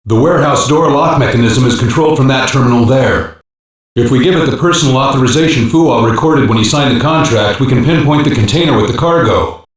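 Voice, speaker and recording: A man's voice: mid-range voice, brisk, lightly acted. A man playing a businesslike cyber-police analyst in an English sci-fi game, giving matter-of-fact technical briefings. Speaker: intelligence operative. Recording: very echoey, distant-sounding game audio.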